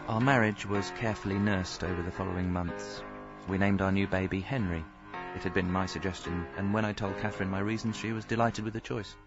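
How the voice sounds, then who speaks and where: A man's voice: low voice, little pitch movement, brisk, few pauses, dull audio, over loud music. An actor playing a hapless, earnest young father and husband in a radio comedy, by turns sheepish and drily wry. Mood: wry narrating. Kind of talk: radio comedy acting with wry first-person narration.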